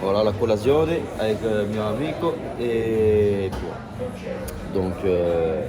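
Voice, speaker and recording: Low-voiced man over a loud crowd. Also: takes it slowly. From France. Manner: hesitant, full of fillers and restarts. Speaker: vlogger. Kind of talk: casual travel vlog narration. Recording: loud crowd noise behind.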